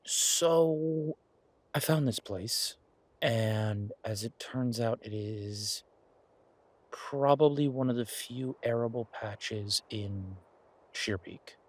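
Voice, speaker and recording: A man playing an affectionate, eager boyfriend in an audio roleplay, talking in a casual, halting, coaxing way with a husky voice. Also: mid-range voice, dry recording. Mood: eager, persuasive. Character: affectionate, eager boyfriend pitching grand ideas to his werewolf girlfriend.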